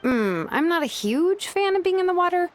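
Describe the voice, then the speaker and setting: A woman voicing a relaxed, easygoing young woman in a video game. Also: very high-pitched, moderate pace. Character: laid-back young woman, prefers staying dry.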